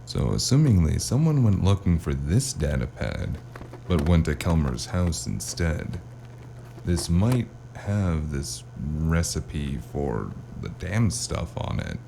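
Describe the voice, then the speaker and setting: A man plays a hard-boiled noir detective with an extremely deep, gravel-edged voice and a dark tone. He tells his story with wry weariness, as in an audio drama, over a faint hum. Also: big pitch swings, takes it slowly, heavily acted. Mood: musing, wry. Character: hard-boiled male cyberpunk noir investigator with magic, wry and weary. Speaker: narrator and lead character.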